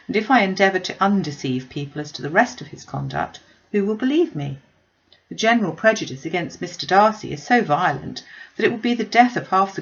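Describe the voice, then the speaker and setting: A woman's voice: fairly low pitch, lo-fi sound. A woman voicing a witty, self-possessed young lady in a classic novel reading, wry, articulate and emphatic.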